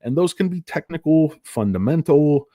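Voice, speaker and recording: A man's voice: fairly high pitch, lively intonation, normal speed, dark tone, no room sound, clean studio sound. A man hosting a casual stock commentary, rambling, crude and sarcastic as he talks through charts and filings. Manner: rambling, crude and sarcastic, with mocking put-on voices. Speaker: stock market video host.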